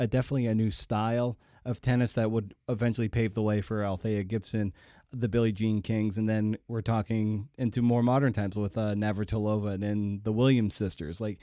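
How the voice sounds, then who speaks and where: Male podcast host. Relaxed, dark-toned, lowish voice, casual interview talk. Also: no echo, clean studio sound.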